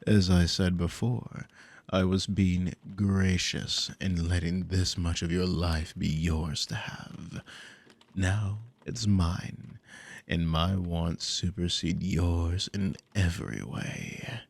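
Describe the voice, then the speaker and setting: A deep-voiced, slow-talking demon played by a man in an intimate ASMR roleplay. He is smug and possessive, drawling his commands with condescending, silky menace. The low voice crackles with gravel. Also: heavily acted. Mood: possessive, coaxing. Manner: commanding, condescending, theatrical drawl with lingering pauses. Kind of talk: ASMR audio roleplay, intimate scripted monologue.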